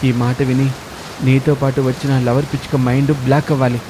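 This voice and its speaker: A man's voice: medium pitch, level tone, brisk, background noise, close to an everyday voice. A man voicing a self-pitying husband crow in a bird cartoon, speaking his thoughts as dramatic inner monologue. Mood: eager, hopeful.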